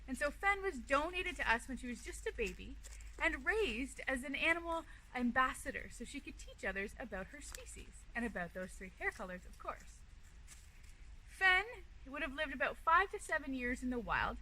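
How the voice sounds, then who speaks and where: A woman's voice: sits high, bright, forceful tone, poor audio. A woman wildlife educator hosting a children's storytime outdoors, explaining and narrating with emphatic storytelling phrasing. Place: outdoors beside an animal enclosure. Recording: outdoor recording with faint background noise.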